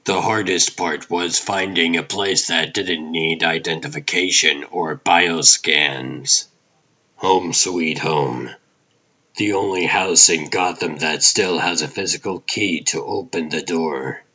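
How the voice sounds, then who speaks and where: A man playing a brooding, world-weary vigilante detective, narrating his own noir story in a grim, terse, deliberate way for a comic dub. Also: deep voice, pushed and bright, muffled recording, exaggerated voice. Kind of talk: dramatic first-person noir scripted narration.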